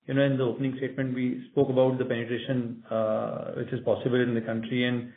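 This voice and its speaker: A man with an Indian accent, a company executive giving halting, measured, unscripted answers on a business call. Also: mid-range voice, level tone, phone-quality sound. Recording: echoey, band-limited conference call line.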